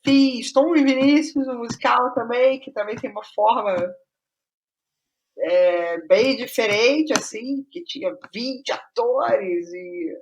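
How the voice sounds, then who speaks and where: A woman's voice: on the high side, slow, slight background noise, poor audio. A Brazilian woman being interviewed as a playwright, talking informally and reflectively about her creative process, often repeating phrases as she goes. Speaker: playwright guest.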